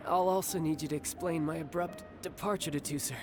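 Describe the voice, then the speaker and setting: An actor playing a cocky, breezy young warrior and devoted big brother in a video game. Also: male voice, a little high, fairly even intonation, moderate pace, over music, lightly acted. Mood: friendly, composed. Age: young adult. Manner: breezy, self-assured.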